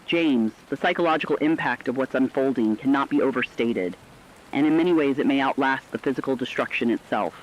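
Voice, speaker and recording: A man's voice: medium pitch, brisk, highs cut off, slight background noise, close to an everyday voice. A man acting as a radio correspondent, reading scripted dramatic news in a solemn, earnest, rhetorical manner. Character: solemn radio correspondent reporting human survival amid apocalypse.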